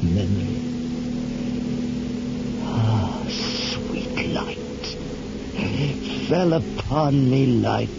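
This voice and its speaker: An actor playing a tormented, rapturous man in an old radio horror monologue, theatrical and halting, repeating words in wonder. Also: fairly low pitch, animated, gravelly, exaggerated voice. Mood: awed wonder. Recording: muffled old radio recording, heavy noise.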